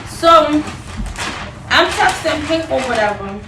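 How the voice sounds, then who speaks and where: Higher-pitched young woman, echoey distant mic. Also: takes it slowly. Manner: animated retelling with quoted dialogue. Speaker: vlogger. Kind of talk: casual storytelling chat. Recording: roomy, noisy, distant microphone.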